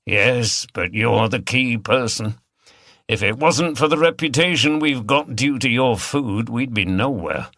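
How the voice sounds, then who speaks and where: A man plays a protective, contrite husband in a mystery audiobook. The voice is middling in pitch, earnest and reassuring, recorded very dry. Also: lively intonation, measured pace, a bit bright, dry recording. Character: protective, contrite husband who runs an inn.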